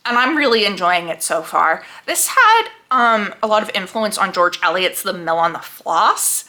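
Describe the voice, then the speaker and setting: A young woman talking casually to camera about what she is reading, chatty and quick to laugh, acting out her own reactions. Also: medium pitch, sing-song, sharp tone. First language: English. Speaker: book vlogger.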